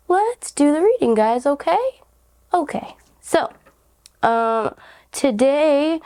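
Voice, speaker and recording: A young woman with a raspy, hoarse voice from a cold leads a casual tarot reading. Her tone is mellow and warm. She rambles in a giggly way, filling her pauses with "like" and "um". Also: fairly high pitch. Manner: rambling, giggly, many fillers like "like" and "um". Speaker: tarot reader, channel host.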